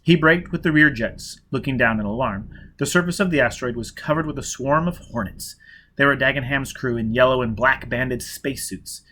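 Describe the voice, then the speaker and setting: A man narrating a novel with an expressive, dramatic delivery. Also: medium pitch, fairly quick. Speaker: audiobook reader.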